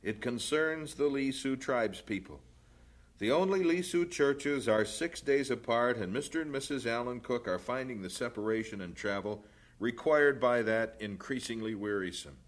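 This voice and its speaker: An actor playing an earnest, plain-spoken missionary husband in an old-time radio drama. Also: male voice, medium pitch, normal speed, close to an everyday voice. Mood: thoughtful, resolved.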